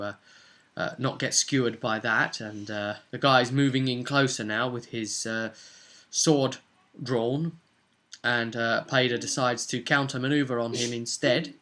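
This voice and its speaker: Bright-voiced man casually recapping a game. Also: mid-range voice. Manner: rambling, halting delivery. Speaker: game master recapping a tabletop role-play session. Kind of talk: casual unscripted storytelling recap.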